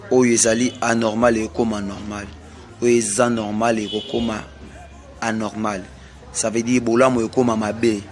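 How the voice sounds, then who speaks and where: A man from Congo with a Congolese French accent, delivering a slow, repetitive prophetic sermon. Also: on the low side, flat delivery, darkish tone. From Congo (DRC). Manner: slow, repetitive, emphatic pronouncements. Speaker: self-styled prophet.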